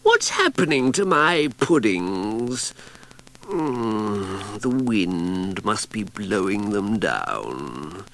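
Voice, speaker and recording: A man voicing a fussy female cat who guards her pudding tree, speaking high, slow and drawn-out. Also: sing-song, a bit bright, slight background noise, heavily acted. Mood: fretful.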